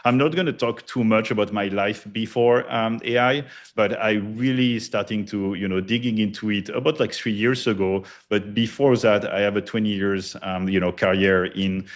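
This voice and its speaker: A middle-aged man with a French accent gives a loose, energetic live presentation, full of fillers and casual cursing. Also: on the low side, moderate pace, hardly any pauses. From France. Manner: loose, hype-driven, heavy filler words and cursing. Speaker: conference presenter, studio founder.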